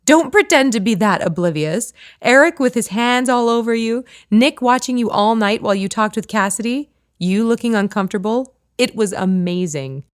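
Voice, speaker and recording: A woman's voice: medium pitch, normal speed, fairly bright tone, no room sound, studio quality, natural voice. A woman voicing a teasing, goading woman friend in an audiobook. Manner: playful, goading.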